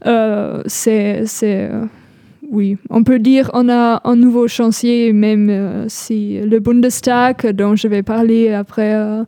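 Young woman, slow speech, fairly high mellow voice, dry sound. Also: flat delivery, no room sound. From Germany. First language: German. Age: young adult. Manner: slow, searching for words. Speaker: radio co-host, volunteer. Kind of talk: casual radio chat.

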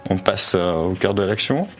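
Man, very low voice, film show host, casual discussion, music behind.

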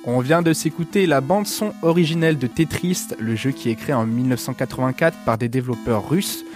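A man's voice: medium pitch, brisk. A man hosting a casual radio music show, stuffy with a cold, hesitant and stumbling over his words. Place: radio studio.

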